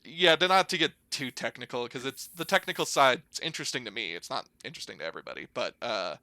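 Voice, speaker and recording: A man's voice: mid-range voice, lively intonation, fast talker, sharp tone, no room sound. A young American man chatting casually, telling stories and explaining things in an informal, rambling way. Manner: informal, rambling, hedging with slang. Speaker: podcast guest, air traffic controller. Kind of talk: casual conversational storytelling and explanation.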